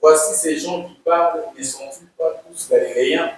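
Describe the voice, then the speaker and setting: A man's voice: fairly high pitch, steady tone. Man reading scripture in echoey room. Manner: reading scripture aloud, a little halting. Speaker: scripture reader.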